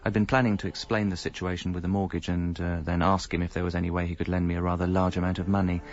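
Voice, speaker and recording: A man's voice: low-pitched, little pitch movement, fast, a little mellow, dull audio, soft background music, close to an everyday voice. An actor in his thirties with an English accent playing a wry, self-pitying separated father in a radio comedy drama. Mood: wry, downcast. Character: separated young father, wry, lonely and exasperated. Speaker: lead character and narrator. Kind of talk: radio comedy drama, wry first-person narration and dialogue.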